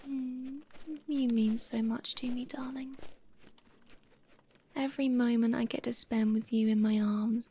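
A young woman whispering softly and breathily into a close microphone in an intimate, doting audio roleplay. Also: on the high side, creaky, breathy edge.

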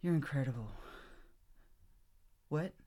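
A woman playing a lustful married teacher in an intimate roleplay, moving between husky whispers and loud breathy cries. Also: low-pitched, animated, takes it slowly, heavily acted. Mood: tender. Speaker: voice actor in an adult audio roleplay.

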